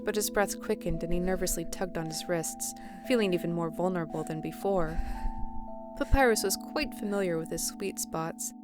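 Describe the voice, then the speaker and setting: A woman reading scripted story narration for an audio drama. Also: on the low side. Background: music.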